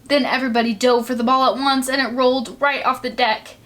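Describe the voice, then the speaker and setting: Mulher de voz aguda lendo história infantil. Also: female voice, high-pitched, little pitch movement, fairly quick, a bit bright. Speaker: children's storybook reader. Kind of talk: expressive read-aloud narration for children.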